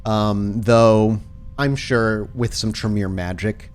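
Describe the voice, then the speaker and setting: Man, low-pitched voice, game master, dramatic cinematic storytelling, tabletop roleplay narration. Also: fairly even intonation, slow pace, clear, resonant tone. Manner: dramatic, scene-setting, film-shot descriptions.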